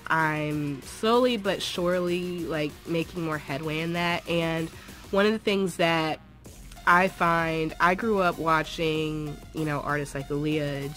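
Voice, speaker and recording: A chatty young woman with a low, deep voice talks eagerly to a phone held at arm's length, filling her sentences with "um" and "like." She is in a small room at home, and faint music plays behind her. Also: a bit bright. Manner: chatty and enthusiastic, many fillers like "um" and "like". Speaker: dance group member. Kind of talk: casual vlog chat.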